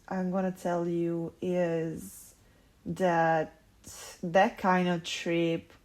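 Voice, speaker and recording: Slow, husky-voiced woman. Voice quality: low, husky morning voice. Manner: halting, full of fillers and restarts, frank and swearing. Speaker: podcast host. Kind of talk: casual personal monologue.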